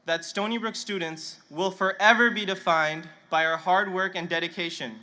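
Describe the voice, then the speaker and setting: A man's voice: high voice, steady tone, moderate pace, bright, forceful tone. A young man whose first language is Punjabi, giving a nervous, self-joking student speech at an outdoor stadium ceremony into a podium microphone. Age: young adult. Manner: nervous, self-deprecating humor. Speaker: student commencement speaker. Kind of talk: commencement speech.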